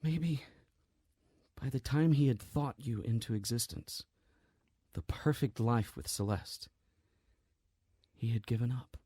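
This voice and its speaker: An actor plays a grieving man in an audio drama, gentle and weighed down by guilt. His husky voice is close and dry. He speaks haltingly and earnestly, as if explaining something impossible. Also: medium pitch, no room sound.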